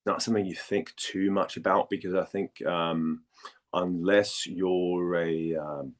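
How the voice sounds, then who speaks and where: Man, from Denmark, deep voice, informal interview answers, halting delivery with repeated words. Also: steady tone. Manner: hesitant, halting, repeats words while thinking. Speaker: voice actor, interview guest. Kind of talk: casual interview chat.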